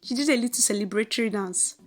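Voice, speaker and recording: A woman with a Nigerian accent narrating a folktale in an expressive, theatrical, scripted storytelling style. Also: on the high side, quick pace.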